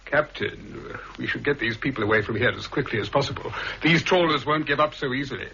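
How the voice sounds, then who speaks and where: A man's voice: mid-range voice, brisk, creaky, natural voice. A man with a British accent playing a suave, scheming, coolly commanding intelligence official in a 1960s radio drama, with a clipped, condescending manner. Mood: pressing, commanding. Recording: muffled, hissy 1960s radio recording.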